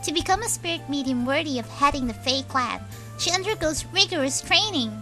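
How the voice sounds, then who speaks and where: A woman plays an eager young girl from a video game fan dub. Her voice is very high and bright. She is earnest, fond and sweetly polite. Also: lively intonation, brisk, faint music behind, exaggerated voice. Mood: polite, chatty.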